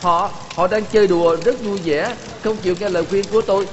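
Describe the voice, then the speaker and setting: Man as a high-pitched, frantic, stammering servant. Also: fairly even intonation, fast talker, reverberant, big-room sound, dull audio, slight background noise, close to an everyday voice. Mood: panicked. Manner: panicked, stammering, repeating himself. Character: frantic, stammering male servant raising the alarm. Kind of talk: dubbed animated film dialogue.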